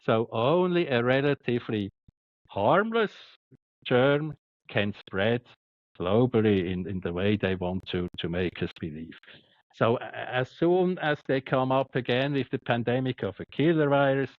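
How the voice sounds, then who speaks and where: A man with a Swiss accent, a doctor speaking as a podcast guest, halting and repeating words but emphatic. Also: mid-range voice, dry recording, highs cut off. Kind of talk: podcast interview.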